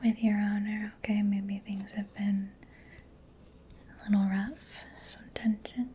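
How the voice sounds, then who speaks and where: Slow, whispering woman in soft ASMR roleplay. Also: mid-range voice, level tone, slight background noise. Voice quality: breathy, mostly whispered. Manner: gentle, unhurried, reassuring. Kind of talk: soft-spoken ASMR roleplay as a mechanic examining a client.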